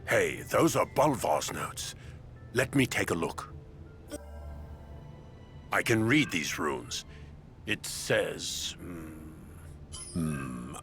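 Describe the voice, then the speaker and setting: A man's voice: extremely low pitch, a bit bright, exaggerated voice. An actor playing a knowing, grave inventor craftsman in a fantasy video game cutscene. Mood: pondering.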